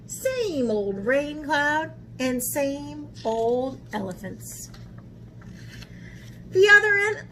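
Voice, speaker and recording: A woman hosting a children's picture-book read-aloud as an art teacher, speaking in an animated way and putting questions to young listeners. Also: high-pitched, a little hiss or hum, low-quality recording.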